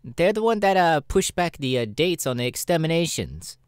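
Up close and dry, a man plays a sassy, cynical demon showman in an ASMR roleplay. His pitch swings widely as he drawls through casual, irreverent chat with hesitant pauses. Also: sing-song, clear, resonant tone, dry recording. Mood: chatty. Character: sassy, cynical male demon adult performer.

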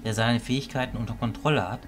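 A man playing an earnest wizard teacher in an amateur fantasy audio drama, speaking in a measured, sincere way. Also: medium pitch, steady tone, over music, lightly acted. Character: earnest wizard teacher, loyal to his pupil.

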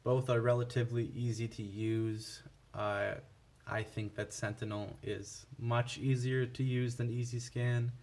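A man giving a casual, unscripted product comparison in a halting, matter-of-fact way. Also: medium pitch, level tone, a little mellow. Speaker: product reviewer for a scanner seller.

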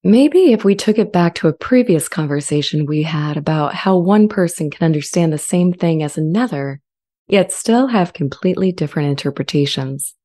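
A woman playing an earnest, friendly, self-aware artificial intelligence in a scripted dramatization, speaking in a measured, articulate way. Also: on the low side, lively intonation, mellow. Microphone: studio condenser mic with pop filter, close.

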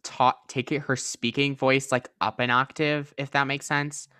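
A teenage boy hosting a podcast, chatting casually with a friend in a sassy, animated way. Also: mid-range voice, a little slow, clear, resonant tone, no echo, clean studio sound. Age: teens. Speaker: podcast host. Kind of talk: casual chat.

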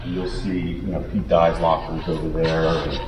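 A man showing visitors around a clubhouse locker room, explaining in a relaxed, matter-of-fact way. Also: very deep voice, moderate pace, distant mic, over loud music. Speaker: club member giving a tour. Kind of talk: casual guided tour. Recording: echoey room with background noise.

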